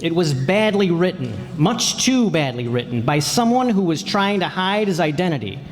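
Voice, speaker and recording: A man's voice: a little high, very animated, moderate pace. A man playing a shrewd, no-nonsense insurance investigator in a radio play, blunt and insistent. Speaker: amateur radio drama player. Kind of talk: old-time radio play acting. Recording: reverberant hall, stage performance.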